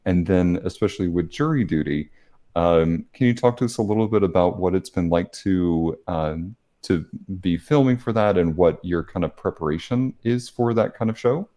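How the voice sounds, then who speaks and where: A young man co-hosts a podcast over a video call. His voice is very deep and dark, and he works his way into his questions with hesitant fillers. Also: normal speed. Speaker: podcast co-host. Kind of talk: interview questions.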